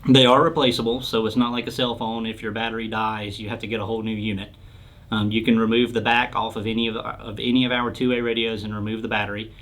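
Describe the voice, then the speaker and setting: A man's voice: lowish voice, little pitch movement, fast talker, fairly bright tone. A man answering questions as a company product expert, in an informal, plain-spoken explanatory style. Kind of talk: casual explanatory interview talk.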